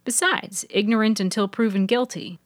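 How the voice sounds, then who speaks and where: Woman acting a young intern, sweet, sly, hushed conspirator, lifted pitch, audio fiction. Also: normal speed, dry recording, studio quality, lightly acted. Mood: self-assured. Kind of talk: audio fiction character dialogue.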